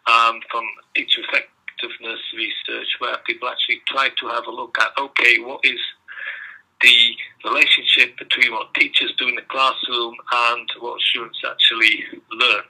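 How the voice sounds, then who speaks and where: A middle-aged man with a Belgian accent speaks in a hesitant interview style, filling his pauses with ums. His voice is very bright and sharp and stays flat in pitch. The sound has a phone-line quality. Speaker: guest researcher. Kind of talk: interview answers.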